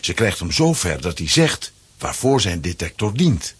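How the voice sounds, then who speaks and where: A man narrating a children's audio story from a script, with a raspy voice. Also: low voice, big pitch swings, moderate pace.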